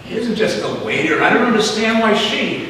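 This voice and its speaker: A man's voice: high voice, big pitch swings, fairly quick, a bit bright. Man acting an anxious, wry father, distant and echoey. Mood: anxious, evasive. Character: anxious middle-aged father, wryly joking, avoiding a wedding. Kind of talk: stage play acting. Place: theatre stage, live performance. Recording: echoey hall from far off, faint noise.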